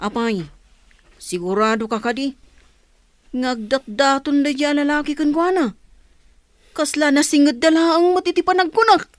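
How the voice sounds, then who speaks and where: A woman's voice: high voice, measured pace, pauses often, no room sound. A woman playing a level-headed male buddy in an audio drama, questioning and admonishing. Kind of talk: Ilocano audio drama acting. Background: faint music.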